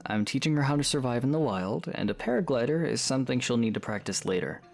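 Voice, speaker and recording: Man playing a calm, understated young hero. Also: medium pitch, quick pace, gravelly, soft background music. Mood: protective, earnest. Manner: understated, matter-of-fact. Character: calm, terse, protective young male hero. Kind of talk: fan audio drama acting.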